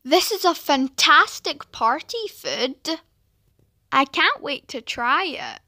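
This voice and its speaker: A woman's voice: high voice, big pitch swings, slow, sharp tone, dry recording, clean studio sound. A woman from the UK hosting a home cooking channel, cheerfully talking through a recipe and listing the ingredients one by one. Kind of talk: recipe voice-over.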